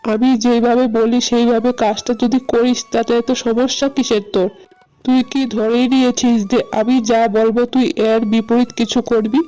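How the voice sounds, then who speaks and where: A woman's voice: fairly high pitch, level tone, normal speed, warm tone, faint music behind, lightly acted, rough recording. A woman voicing a quarrelsome, fault-finding older mother-in-law in a cartoon, complaining and scolding as she talks. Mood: scolding, indignant. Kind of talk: cartoon voice acting.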